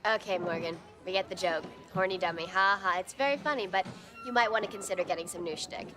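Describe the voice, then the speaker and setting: A woman's voice: lowish voice, fast talker, natural voice. Woman playing a quippy teenage girl over music. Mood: sarcastic, annoyed. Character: sarcastic, quippy teenage girl demon hunter. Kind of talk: television drama acting. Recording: TV episode audio with background music.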